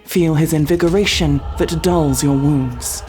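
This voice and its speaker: A woman's voice: sits low, unhurried, dark tone, soft background music. A woman playing a devout, zealous sci-fi battle sister in an ASMR roleplay, with solemn, preaching, archaically formal speech. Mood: fervent, rallying. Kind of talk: ASMR roleplay, dramatic monologue.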